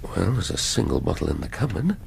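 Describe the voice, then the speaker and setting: Middle-aged English police detective, radio drama, brooding, musing, creaky voice, faint background noise. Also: male voice, deep voice, sing-song, a little fast, a little mellow, heavily acted. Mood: musing, muttering. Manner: musing, clipped half-sentences, muttering to himself.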